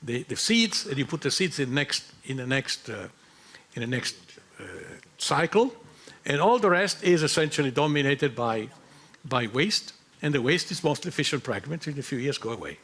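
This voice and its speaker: Halting man explaining physics on a panel. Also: mid-range voice, animated, a bit bright. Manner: halting, repeats words, non-native phrasing. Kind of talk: technical panel answer. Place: conference hall.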